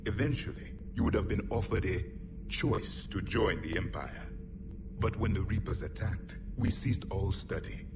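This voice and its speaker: An actor playing a proud, cold ancient alien soldier in a video game cutscene, speaking in a grave, half-whispered way. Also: male voice, on the low side, normal speed, very noisy, natural voice. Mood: grim. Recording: distant voice under loud game music.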